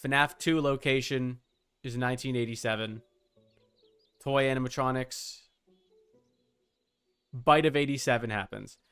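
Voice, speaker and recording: Young man with a creaky, clear voice. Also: medium pitch, steady tone, fairly bright tone, faint music behind. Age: young adult. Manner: rambling, halting, repeats words. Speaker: game streamer. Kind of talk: casual livestream chat while gaming.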